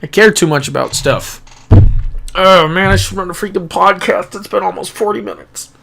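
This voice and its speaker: A young man speaks in a slightly higher voice than most men. He rambles earnestly and reflectively, with pauses and restarts. The mic is close, as if he is talking straight into his camera. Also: vocal fry, bright, forceful tone. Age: young adult. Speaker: gaming YouTuber. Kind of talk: rambling personal vlog monologue. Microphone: camera-mounted microphone, close.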